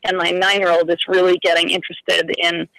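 A woman's voice: lowish voice, level tone, brisk, gravelly, a bit bright, no echo. A woman calling in to a radio show, talking casually and with enthusiasm. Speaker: caller. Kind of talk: casual phone-in talk. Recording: phone call on radio.

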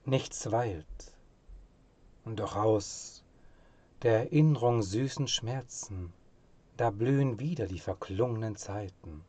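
Slow, low-voiced man reciting poetry. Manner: measured, expressive, dropping to hushed phrase endings. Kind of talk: solemn literary poetry recitation.

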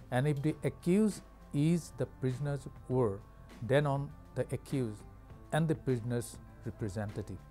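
An Indian-accented man in his sixties delivering a scripted lecture in a formal, measured way with halting phrasing. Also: mid-range voice, a little mellow. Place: studio with curtain backdrop. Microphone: lapel mic.

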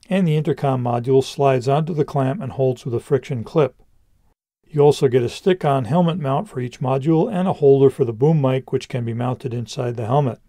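A man narrates a scripted product review in a soft, dark-toned voice. The recording is dry and close, with no room sound. He speaks as a presenter explaining gear. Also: mid-range voice, no echo.

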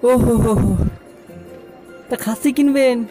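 A man's voice: extremely high pitch, slow, over music. A man voicing an exclamatory, showy young nephew in an amateur cartoon. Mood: boastful, teasing. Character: showy young nephew, boastful, later remorseful.

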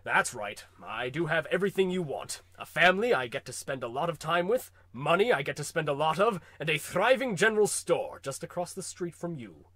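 A man's voice: fairly high pitch, lively intonation, fairly quick, hardly any pauses, sharp tone, no room sound. A man playing a smug, brusque, boastful, prosperous father in a comic radio play. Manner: pompous, curt, boastful.

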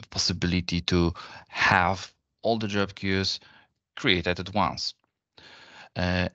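A man explaining software step by step in a webinar demo, speaking in a halting, unscripted way. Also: low-pitched, dry recording. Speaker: software presenter. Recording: dull, lo-fi online webinar audio.

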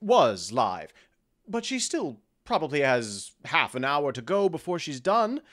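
A man plays a theatrical fairy king in a modern audio drama. His pitch leaps about as he protests, huffy, defensive and quick to get flustered. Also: big pitch swings, fairly bright tone. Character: petulant, theatrical fairy king living as a bickering husband.

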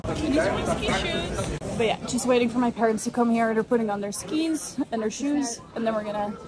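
A woman's voice: a little high, lively intonation, brisk, a crowd in the background. A woman in her twenties from the Netherlands chatting casually and enthusiastically as a vlogger, speaking English as a second language. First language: Dutch. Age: 20s. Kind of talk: casual vlog chat.

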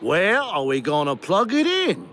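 An actor playing a blustery, excitable, dim-witted cartoon rooster who drawls and repeats himself emphatically. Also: male voice, high voice, a little slow, slight background noise, exaggerated voice. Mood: pondering, hopeful. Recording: music behind the voice.

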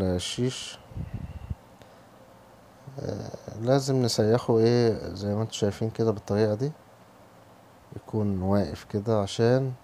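A man with an Egyptian Arabic accent, hoarse from a cold, casually talking viewers through a recipe step by step in his home kitchen. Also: lowish voice, mellow, slight background noise. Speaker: home cooking channel host. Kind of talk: cooking tutorial voice-over.

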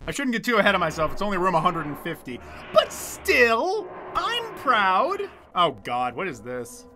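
A young man livestreaming a horror game, chatting to viewers with jokey, excitable, crude swearing. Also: sits high, normal speed, background noise. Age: young adult. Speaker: game streamer. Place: small dim room indoors at home. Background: horror game music.

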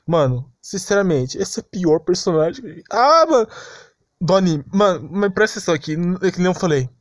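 Young man, Brazilian accent, slangy complaining commentary, exasperated, animated pitch, dry recording. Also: fairly quick, dry recording. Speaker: anime commentary vlogger. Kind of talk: casual unscripted ranting commentary.

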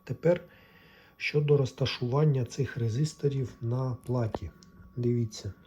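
A man giving an informal technical tutorial, explaining step by step in a halting way. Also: mid-range voice, warm tone, a little hiss or hum. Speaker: electronics repair hobbyist presenter.

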